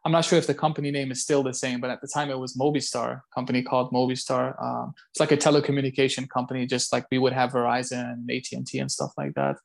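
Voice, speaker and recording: Halting man from Belgium, medium pitch. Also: little pitch movement, gravelly. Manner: halting, repeats words as he goes. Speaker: interview guest, call center site director. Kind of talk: casual interview answers.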